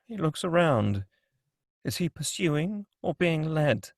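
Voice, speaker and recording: A man's voice: on the high side, big pitch swings, slow, vocal fry, dry recording, clean studio sound. A man reading scripted stage directions as the narrator of a screenplay table read.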